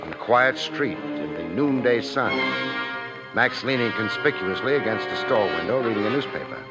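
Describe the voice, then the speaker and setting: An American actor playing a cool-headed, wisecracking private investigator in a 1950s radio drama, with a wry, clipped, hard-boiled delivery. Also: male voice, on the low side, big pitch swings, moderate pace. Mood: wry narration. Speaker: lead actor and narrator. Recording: muffled old radio broadcast, faint music.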